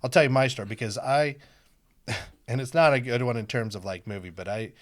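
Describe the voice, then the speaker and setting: A man's voice: medium pitch, brisk. Chatty, laughing man hosting a podcast. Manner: chatty, laughing often, meandering. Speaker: podcast host. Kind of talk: casual chat. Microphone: podcast microphone.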